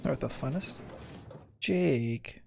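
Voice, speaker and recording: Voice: medium pitch, animated, takes it slowly, creaky, slight background noise. A gamer chatting casually during play, with playful, incredulous questions. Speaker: streamer playing a video game. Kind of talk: casual gaming chat.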